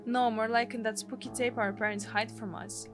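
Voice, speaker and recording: A woman's voice: a little high, level tone, moderate pace, music in the background, lightly acted. A woman with a Russian accent voicing a tired, protective young boy while reading a game aloud. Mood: uneasy.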